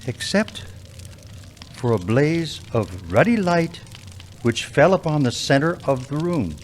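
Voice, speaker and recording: A man narrating a live radio play with measured, dramatic pauses. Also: fairly high pitch, very animated, slight background noise.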